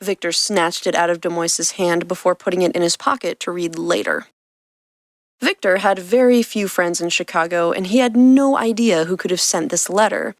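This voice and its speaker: A woman's voice: lowish voice, moderate pace, no room sound, studio quality. A woman reading scripted fiction as the narrator of an audio story. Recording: music behind the voice. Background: background music.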